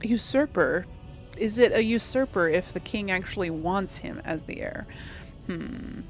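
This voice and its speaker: A woman's voice: mid-range voice, a little slow, soft background music. A woman doing casual video game commentary, rambling and thinking aloud in an unsure way. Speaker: video game let's-play host.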